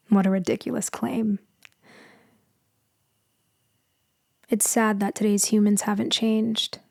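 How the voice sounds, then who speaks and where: A woman playing a gentle, melancholy immortal female vampire in a sleep ASMR roleplay, speaking softly and intimately, often slipping into a whisper. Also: mid-range voice, little pitch movement, no room sound, studio quality. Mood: wry storytelling. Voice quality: soft, breathy, often whispering. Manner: slow, hushed, wistful, intimate.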